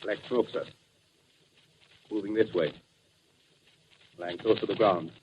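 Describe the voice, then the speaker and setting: An actor playing a dutiful army observer giving short, subdued field reports in 1930s radio drama style. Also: male voice, on the low side, takes it slowly. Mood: watchful, tense. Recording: muffled, hissy 1930s radio recording.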